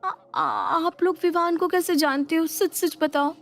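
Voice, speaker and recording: A woman's voice: extremely high pitch, steady tone, a little slow, pitched above the performer's own voice. A woman voicing a naive, lovestruck young married woman, coy and emotive, in a dramatized moral story. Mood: flustered, defensive.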